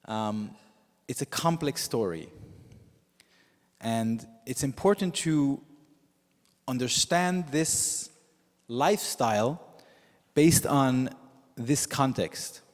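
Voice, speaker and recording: A man whose first language is Yiddish, preaching as a rabbi in a measured storytelling way with frequent pauses. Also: medium pitch, animated. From United States. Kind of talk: sermon. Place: synagogue sanctuary with long reverb. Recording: reverberant hall.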